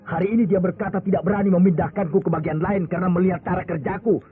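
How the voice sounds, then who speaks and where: An actor playing a hot-tempered, bitter husband with wounded pride in an Indonesian radio drama. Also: male voice, high-pitched, fairly even intonation, racing, close to an everyday voice. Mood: furious. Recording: tinny old radio drama, music behind.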